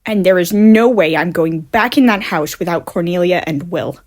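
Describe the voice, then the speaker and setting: A woman's voice: lowish voice, moderate pace, darkish tone, lightly acted. A woman playing a wary, stubborn, suspicious teenage girl in a comic fan dub. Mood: distrustful.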